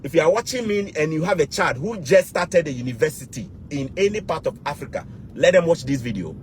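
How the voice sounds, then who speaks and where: Emphatic Ghanaian man over clear background noise. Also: a little high, animated, a little fast. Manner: emphatic, repeats key words and phrases. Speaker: YouTube vlogger. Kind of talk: motivational advice vlog.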